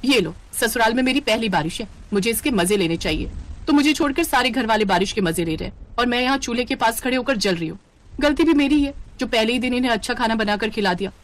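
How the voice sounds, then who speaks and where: A woman's voice: a little high, animated, fast talker, natural voice. A woman voicing a young, overworked daughter-in-law who mutters with exasperation and self-pity in a dramatic story style. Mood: bitter grumbling.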